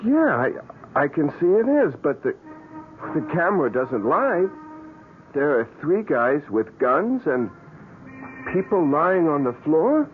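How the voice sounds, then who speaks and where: An actor playing an eager, excitable young amateur photographer in a 1970s radio drama. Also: male voice, a little high, measured pace, pauses often. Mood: baffled, insistent. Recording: tinny old radio broadcast, noisy.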